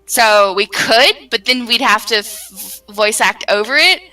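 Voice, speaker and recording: Bright-voiced woman rambling, a bit distant. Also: on the high side, measured pace. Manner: rambling, trailing off and circling back. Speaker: game developer, writer and voice actor. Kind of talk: casual chat about her own creative projects.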